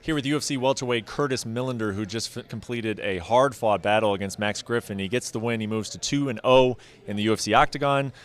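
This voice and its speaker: A man in his thirties interviewing fighters, asking brisk, direct questions into a handheld mic. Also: mid-range voice, smooth. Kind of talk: sports interview.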